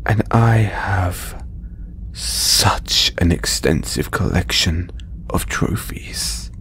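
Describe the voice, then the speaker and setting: A man acting a slow, whispering, condescending alien collector. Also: low-pitched, animated, a bit bright, full character voice. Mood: smug, menacing. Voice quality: breathy, half-whispered. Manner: condescending, deliberate with long pauses. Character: condescending, possessive alien trophy hunter and collector, male. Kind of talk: slow audio roleplay monologue to listener.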